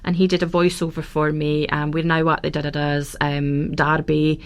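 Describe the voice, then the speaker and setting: A woman guest on a radio show, chatting warmly about her work as a celebrant, with frequent fillers and restarts. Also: deep voice, level tone, normal speed, clean tone. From Scotland. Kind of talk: casual radio interview. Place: radio studio. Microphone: studio microphone.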